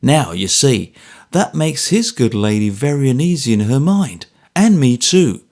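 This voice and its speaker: A man's voice: medium pitch, big pitch swings, a little slow, a little mellow. A man voicing a hearty, easygoing former soldier with a bluff, offhand, earnest manner.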